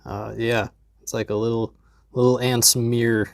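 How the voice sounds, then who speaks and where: A young man in his twenties with a lapel mic in a basement, narrating a comedic DIY tutorial in a deadpan, jokey way with mumbled asides. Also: medium pitch, moderate pace, creaky, no echo, clean studio sound. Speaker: DIY maker vlogger. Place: basement workshop, indoors.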